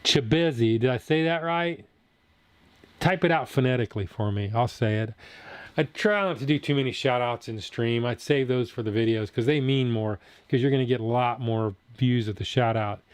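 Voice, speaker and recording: Chatty man in his sixties, smooth voice. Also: mid-range voice, moderate pace, no echo. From Southern Ohio. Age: 60s. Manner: rambling, chatty, answers chat as he reads. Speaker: food channel host live streaming. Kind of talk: casual live-stream chat reading viewer comments.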